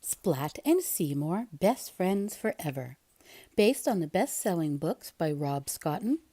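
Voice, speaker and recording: A woman reading a children's picture book aloud in an expressive narrating style. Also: on the low side, slow pace. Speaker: storybook reader.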